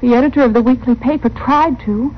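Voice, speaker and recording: An anxious frontier wife from a radio western, acted by a woman. Her voice is fairly high and full of earnest worry. The old recording is dull, with slight hiss. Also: a little fast, natural voice. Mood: grave. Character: worried, earnest frontier wife pleading for help. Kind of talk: 1950s radio western acting.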